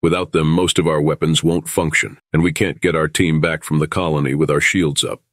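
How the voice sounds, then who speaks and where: A man acting in an audio drama as a calm, principled starship captain, measured and authoritative, with rumble behind him. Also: dry recording, clean studio sound. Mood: composed, formal. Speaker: lead actor playing a starship captain.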